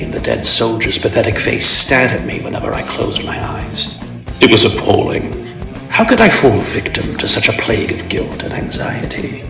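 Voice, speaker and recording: Guy doing a brooding proud comic villain narrating his youth, low and grave, roomy sound w music behind. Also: creaky, recorded from a distance. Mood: grim, haunted. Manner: grave, deliberate, formal, theatrical. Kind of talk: dramatic narration of a comic dub.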